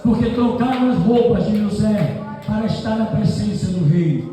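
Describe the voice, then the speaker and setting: A man preaching a fervent sermon as pastor, often shouting, whose first language is not Portuguese. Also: high voice, moderate pace. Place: large church hall. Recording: roomy hall from far off, congregation.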